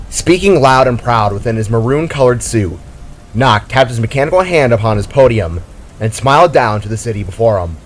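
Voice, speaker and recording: A man reading scripted dramatic narration as the author of the story. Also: medium pitch.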